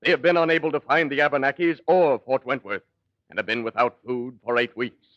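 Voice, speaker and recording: A man's voice: mid-range voice, steady tone, a little fast, no room sound. A man announcing scenes as a mock-dramatic narrator in 1940s radio style. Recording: dull, hissy 1940 radio recording.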